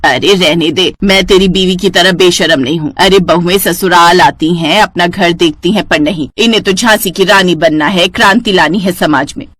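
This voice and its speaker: A woman's voice: on the low side, moderate pace, few pauses, a bit bright, no echo. Scornful, nagging mother-in-law voiced by a woman. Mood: scolding. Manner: sarcastic, taunting.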